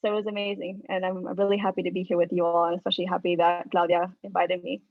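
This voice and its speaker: A woman from Mexico in her thirties, a statistics professor giving a relaxed academic talk over a video call, with frequent ums and uhs. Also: on the low side, fast talker, no echo. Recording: dry, phone-like video call audio.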